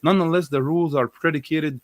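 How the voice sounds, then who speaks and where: A man's voice: a little high, fairly even intonation, fast, no room sound. Man arguing casually, close dry sound. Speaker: guest commentator on a religious live show. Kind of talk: casual argumentative discussion.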